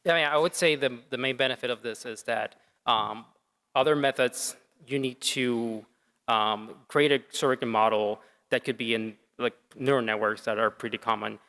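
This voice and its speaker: A man of middle pitch answering a jury's question about his team's method. He explains at length, pausing on "um" between points. His voice sits in a big, reverberant hall. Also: steady tone, fairly bright tone. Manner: explanatory, frequent "um". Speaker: student team member.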